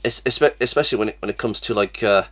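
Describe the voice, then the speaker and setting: Young male host with a cold, fairly low voice, halting and repetitive delivery, recorded at a desk webcam. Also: fairly bright tone. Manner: stammering, many restarts and fillers. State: has a cold, sniffly. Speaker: reaction channel host. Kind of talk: casual reaction vlog. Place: small cluttered room indoors.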